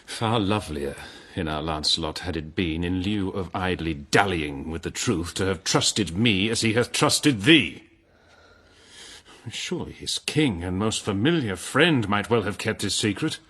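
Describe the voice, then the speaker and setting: A grave old-world king, slow and stately, husky low voice, big swings in pitch. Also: expressive, fairly bright tone, heavily acted. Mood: hurt reproach. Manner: stately, measured, theatrical. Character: noble king, grave, trusting, wounded by deception. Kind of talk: dramatised verse, archaic British drama.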